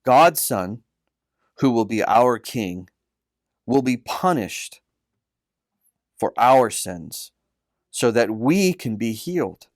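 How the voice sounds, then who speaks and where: Male narrator for children's religious story, mid-pitched, slow and earnest, dry recording. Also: very animated, dry recording. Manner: earnest, deliberate phrasing. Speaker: author and narrator.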